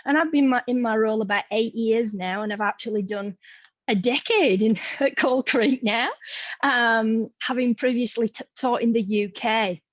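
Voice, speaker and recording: A woman's voice: a little high, normal speed, no echo. A woman in her thirties with a Yorkshire accent, a museum education leader talking informally and chattily to colleagues. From Yorkshire, England. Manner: friendly and chatty, with ums. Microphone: video call. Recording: dry, muffled video-call audio.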